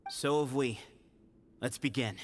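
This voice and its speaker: Casting: man playing cocky young game duelist, medium pitch, animated, reverberant with faint ambient noise. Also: a little slow, natural voice. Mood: assured.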